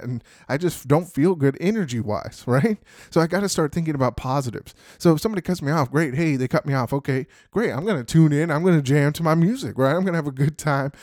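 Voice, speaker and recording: A young adult man with a deep voice hosting his own podcast, giving upbeat motivational tips and often tagging lines with "right?" and "you know". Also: a little mellow, dry recording, studio quality. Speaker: podcast host. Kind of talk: motivational talk.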